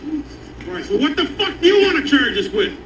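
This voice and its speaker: Actor as an exasperated, very high-voiced smuggler. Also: male voice, sing-song, brisk, noisy background. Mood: exasperated, defiant. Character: defiant, exasperated, foul-mouthed male smuggler. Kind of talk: comedic screen dialogue. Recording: echoey, muffled, distant.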